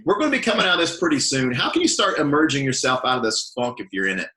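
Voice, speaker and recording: A man's voice: medium pitch, wildly swooping pitch, very fast, bright, forceful tone. A man hosting a casual podcast, moderating the conversation among guests. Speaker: podcast host. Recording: noisy, roomy live call.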